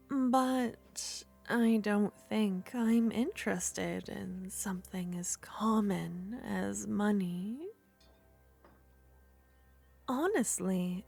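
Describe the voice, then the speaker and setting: A woman voicing a lonely, possessive young witch in an ASMR roleplay, soft-spoken and slipping into whispers as she coaxes and flatters. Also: mid-range voice, gravelly. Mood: amused.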